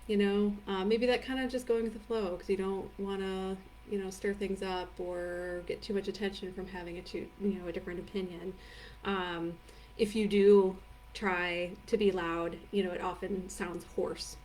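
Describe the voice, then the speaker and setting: A woman's voice: medium pitch, flat delivery, normal speed, distant mic, slight background noise, poor audio. A woman leading a live online training, talking casually with many fillers and answering viewer comments. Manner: chatty and warm-hearted, many fillers like "um" and "like"; reads viewer comments aloud and answers them. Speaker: energy healing teacher. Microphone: live video stream.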